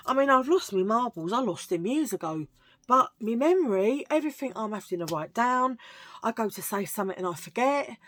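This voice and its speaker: A middle-aged woman with a husky low voice, chatting in a rambling, self-mocking way while showing a thrift haul. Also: expressive, a little fast, a bit bright. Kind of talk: casual chatty haul video.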